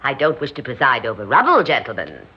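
An actress playing a grandiose, scheming exiled society hostess in an old radio drama, theatrical and imperious. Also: female voice, a bass voice, wildly swooping pitch, quick pace, slightly rough, full character voice. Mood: grandiose, fervent. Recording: muffled old radio recording.

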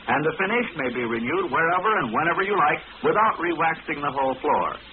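A man's voice: a little high, lively intonation, brisk. A man reading a scripted 1940s radio advertisement as announcer, with a polished, emphatic sales delivery. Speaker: commercial announcer. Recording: narrow-band, faintly noisy old radio recording.

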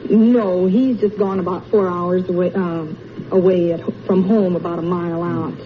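A woman's voice: on the low side, fairly even intonation, moderate pace. Polite woman from california answering questions on an old radio quiz, muffled sound with a little hiss. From Monterey, California. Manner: soft-spoken, short polite answers. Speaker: quiz contestant. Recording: dull old radio broadcast with faint hiss.

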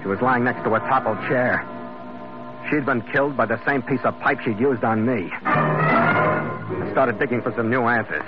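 A wry hardboiled investigator, muffled radio recording. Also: male voice, mid-range voice, wildly swooping pitch, moderate pace, long pauses. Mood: hardboiled narrating. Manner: clipped, dry, wisecracking. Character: tough, wry, world-weary male hardboiled investigator. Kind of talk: old-time radio noir acting and narration. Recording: muffled vintage radio recording, music behind.

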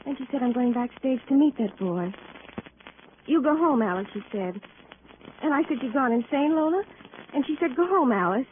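An American actress playing an anxious, distraught young working woman in a 1940s radio drama, speaking in halting, emotional, repeated phrases. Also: sits high, lively intonation. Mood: shaken, recounting. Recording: old radio recording, narrow band, hiss.

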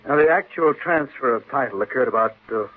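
Man acting evasive lawyer, formal, placating, husky voice, phone-like vintage recording. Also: mid-range voice, brisk, lightly acted. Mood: soothing. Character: formal, soothing, evasive male attorney. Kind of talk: old-time radio drama acting. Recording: tinny narrow-band vintage radio recording.

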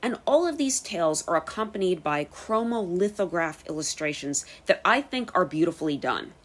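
A woman with an American accent hosting a podcast, reading scripted narration in careful, clear phrases. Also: fairly low pitch, animated, moderate pace. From United States. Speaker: podcast host and storyteller.

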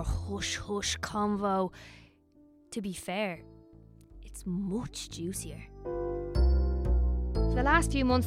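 A young woman narrating an audio drama in a wry, conspiratorial, sweary way. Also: fairly high pitch.

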